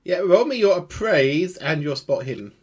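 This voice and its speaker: A man narrating a tabletop horror game as game master, improvising in a conversational, halting way and thinking aloud. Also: on the high side, lively intonation, slight background noise.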